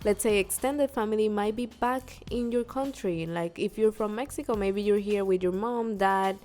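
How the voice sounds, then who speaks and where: A woman from Puerto Rico co-hosting a casual podcast chat, animated and quick to laugh, often restarting her sentences. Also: mid-range voice. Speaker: podcast co-host. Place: New York.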